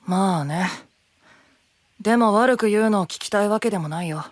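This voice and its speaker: A man playing a guarded, sharp-tongued young knight in an anime-style voice drama, speaking bluntly. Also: high-pitched, moderate pace, no echo, lightly acted. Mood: cool, guarded. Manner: blunt, accusing. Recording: music under the voice.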